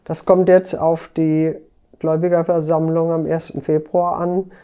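Mellow-voiced woman journalist explaining. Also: deep voice, little pitch movement, measured pace, clear, resonant tone. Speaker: journalist, interviewed expert. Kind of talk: conversational explanation in an interview podcast.

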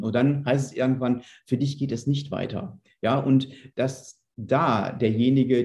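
A man talks as an interview guest. His voice is mid-pitched and mellow, and he explains things conversationally. The recording has a clearly roomy echo. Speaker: podcast guest, managing director.

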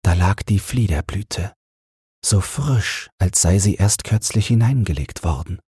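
Male storyteller, low voice, very breathy, calm, measured, soothing fairy-tale narration. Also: no room sound, clean studio sound. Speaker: audiobook narrator.

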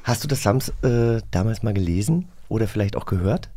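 A man's voice: lowish voice, lively intonation, a little fast, mellow. A man co-hosting a radio magazine with casual, conversational chat. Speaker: co-host of a radio play magazine.

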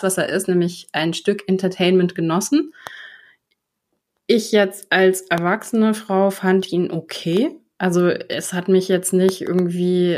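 A woman's voice: lowish voice, a little mellow. A young adult woman co-hosting a podcast in casual chat, thoughtful and hesitant with many fillers. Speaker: podcast co-host.

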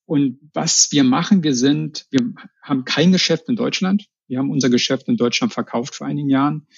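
A man's voice: medium pitch, fairly even intonation, a little fast, gravelly, husky, no room sound, highs cut off. A man from the Berlin area answering interview questions in a casual, informal way, often repeating words. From Berlin area, Germany. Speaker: interview guest, customer experience manager.

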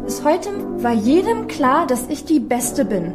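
A woman's voice: sits high, sing-song, unhurried. A woman playing a proud, suspicious music competition contestant in a radio play monologue, self-absorbed in manner. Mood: indignant, boastful. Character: proud, suspicious female piano competition contestant, restless at night. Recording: piano music playing over the voice.

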